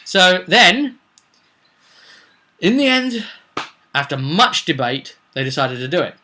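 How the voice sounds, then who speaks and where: A man's voice: high voice, big pitch swings, slow, sharp tone, a little hiss or hum. A man speaking as a game master, recapping a roleplay session in a casual, rambling, digressive and jokey way. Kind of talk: casual rambling vidcast storytelling.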